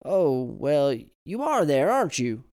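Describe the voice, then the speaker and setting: A man voicing a grizzled old man who is gruff but kindly, with a gravelly voice. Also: a little high, very animated, measured pace, a little mellow, pitched above the performer's own voice.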